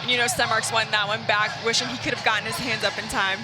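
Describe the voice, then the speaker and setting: A woman's voice: on the high side, little pitch movement, fast. A woman giving conversational color commentary on a volleyball broadcast from an indoor arena. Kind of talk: conversational sports analysis. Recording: loud crowd and arena noise. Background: crowd, bouncing balls, music.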